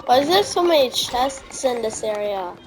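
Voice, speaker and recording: A woman's voice: a little high, expressive, normal speed, soft background music. A woman giving casual gameplay commentary, musing aloud in short questions as she plays. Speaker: gamer.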